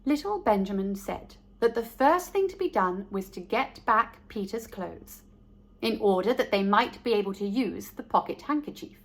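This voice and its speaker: A woman reading a children's story aloud as host, speaking directly to young listeners in a deliberate way. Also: mid-range voice, animated.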